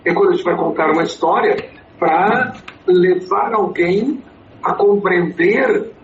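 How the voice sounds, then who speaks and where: A man's voice: high-pitched, a little slow. A man in his sixties, a native English speaker, answering interview questions about his storytelling consulting with many fillers and restarts. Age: 60s. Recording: echoey, dull, slightly noisy.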